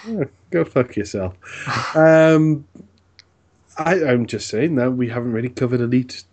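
A man's voice: mid-range voice, a little slow, darkish tone, highs cut off. A man co-hosting a casual gaming podcast, full of dry, sweary banter. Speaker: podcast co-host.

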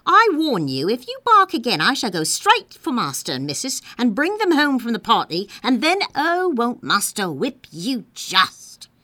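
A woman voicing a bad-tempered, stern housemaid in a storybook, scolding in sharp exclamations. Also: a little high, wildly swooping pitch, measured pace, few pauses, dry recording, voice pushed up, full character voice. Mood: stern, threatening.

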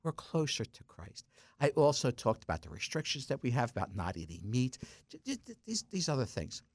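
A man's voice: medium pitch, big pitch swings, a little fast, dry recording, clean studio sound. A man in his sixties, a deacon guest on a radio talk segment, chatty and self-mocking, telling stories with frequent stumbles and restarts. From South Jersey, US. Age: 60s.

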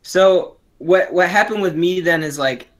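A man's voice: high voice, measured pace. A man of twenty co-hosting a casual podcast, talking in a rambling way with many restarts and fillers. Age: young adult. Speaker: podcast co-host. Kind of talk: casual chat.

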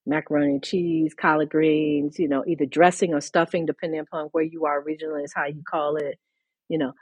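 A woman with a low, husky voice explains her ideas in a thoughtful, lecturing way, pausing and restarting as she goes. Her voice sounds close and dry. She speaks as an author and scholar on a podcast. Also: level tone, dry recording. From mid-Atlantic United States. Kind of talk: conversational podcast discussion.

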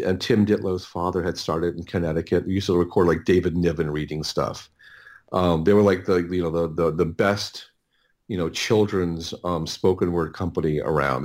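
Man, middle-aged, deep voice, casual interview talk, halting, circles back and restarts phrases. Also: darkish tone. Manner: halting, rambling, repeats words and restarts often. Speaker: interview guest, audiobook producer.

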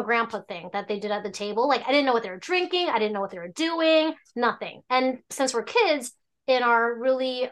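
Woman, 40s, born in Hong Kong, raised in Alaska; rambling, chatty podcast guest with a slightly high voice. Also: a bit bright. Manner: chatty, rambling, frequent restarts and fillers. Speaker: tea shop owner, guest. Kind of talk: casual interview storytelling.

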